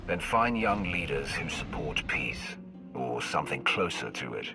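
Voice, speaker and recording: A man playing a commanding, measured Sith warrior in a video game cutscene. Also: very deep voice, very animated, gravelly, loud music behind the voice, exaggerated voice. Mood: firm. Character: commanding deep-voiced Sith warrior, calm strategist.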